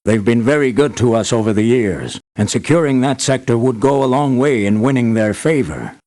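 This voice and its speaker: An actor playing an anxious older man, an island boss and devoted father, in an earnest, measured video game performance. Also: medium pitch, darkish tone, natural voice. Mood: concerned, serious. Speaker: employer briefing hired mercenaries. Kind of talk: scripted video game voice acting.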